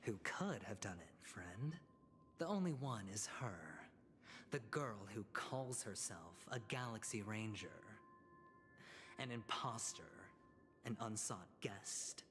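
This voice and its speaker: An actor playing a smooth-talking, self-assured male schemer in video game story dialogue, theatrical and persuasive, over music. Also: on the low side, expressive, slow, fairly bright tone. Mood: dramatic, accusing.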